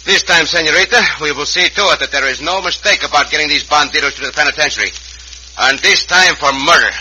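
An actor playing a confident, gallant cowboy hero in an old radio Western, with a Mexican accent and courtly, formal phrasing sprinkled with Spanish. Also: male voice, a little high, fast, close to an everyday voice. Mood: resolute. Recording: noisy vintage radio recording.

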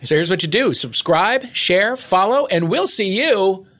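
A man co-hosting a podcast, joking and teasing in quick, playful banter. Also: high-pitched, lively intonation, fairly bright tone. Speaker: podcast co-host. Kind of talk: casual podcast chat.

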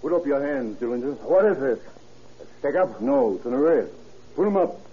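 An actor playing a tough, wry, no-nonsense police detective in a 1930s radio crime drama, with a commanding, dryly polite manner. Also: male voice, mid-range voice, lively intonation, normal speed, breathy edge. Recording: tinny, band-limited old radio recording.

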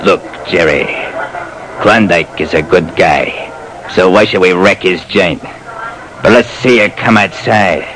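Surly thug, slow menacing delivery, curt, old radio crime drama, noisy recording. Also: male voice, low-pitched, fairly bright tone. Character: surly male hoodlum bullying a detective.

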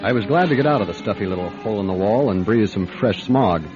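Actor as low-voiced, wary male private eye. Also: lively intonation, over music, lightly acted. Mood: dry, narrating. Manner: dry, measured, probing. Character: gruff, wary male private detective who narrates. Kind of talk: radio drama acting and first-person narration. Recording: dull lo-fi radio drama recording.

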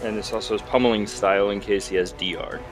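A man's voice: fairly low pitch, steady tone, quick pace, over music. A man playing a tabletop game, calling out his dice rolls and attacks. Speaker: player. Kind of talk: casual tabletop play.

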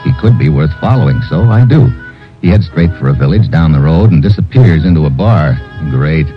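A sardonic American investigator from a vintage radio thriller. His voice is deep and tough, clipped yet full of swing. It comes from a dull old recording with music behind it. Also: expressive, brisk. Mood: brisk, wry narration. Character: hard-boiled, sardonic American investigator hero. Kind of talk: 1950s radio drama acting and first-person narration.

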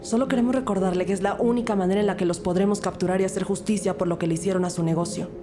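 A woman's voice: medium pitch, steady tone, rapid-fire, music in the background, close to an everyday voice. A woman playing a skeptical young police detective who narrates her investigation in an audio drama. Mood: focused, serious. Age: young adult. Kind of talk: audio drama dialogue and first-person narration.

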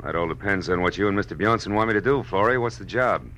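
Hard-boiled American private eye, very low voice, punchy and forceful, wry, clipped, old radio noir. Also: male voice, fast, slight background noise. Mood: skeptical, wry. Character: hard-boiled, wisecracking male Los Angeles private detective. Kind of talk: 1940s radio acting, noir narration. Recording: old radio broadcast recording.

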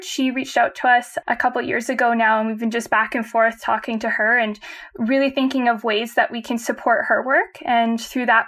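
A woman with a clear Canadian accent talks through her company's technology as a podcast guest. She explains things in a friendly way and laughs easily. Her voice sits a little higher than most women's. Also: fairly bright tone. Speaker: guest, company chief of staff. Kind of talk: conversational interview.

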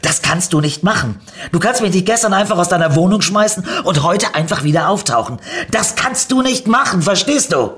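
A woman's voice: low voice, a little slow, voice pushed up. A woman voicing a street-tough, hot-tempered female ex-convict with a blunt, emphatic manner in a dramatic story reading. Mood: furious. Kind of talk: dramatic fan fiction reading.

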